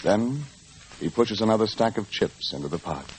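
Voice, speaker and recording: A man's voice: lowish voice, lively intonation, normal speed, noisy background. A man narrating a Western serial as a dramatic old-time radio announcer. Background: music.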